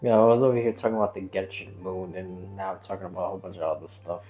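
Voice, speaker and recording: A man's voice: deep voice, fairly even intonation, rapid-fire, dull audio. A man chatting casually as a game streamer, rambling and hesitant, often trailing off and drifting onto tangents. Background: background music.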